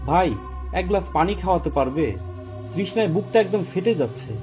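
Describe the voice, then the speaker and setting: A man's voice: sits high, lively intonation, fairly quick, dark tone, faint music behind. A man playing a young traveler in a horror cartoon, exclamatory and easily rattled. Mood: weary. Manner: exclamatory, stammers when frightened. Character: weary, skeptical young male traveler who turns terrified.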